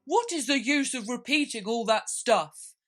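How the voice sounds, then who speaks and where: A melancholy storybook turtle creature, speaks in a raised, swooping voice. The words are stretched out and plaintive, as if the creature is easily hurt. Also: female voice, on the high side, lively intonation, unhurried, soft background music, pitched above the performer's own voice. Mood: persistent, confused.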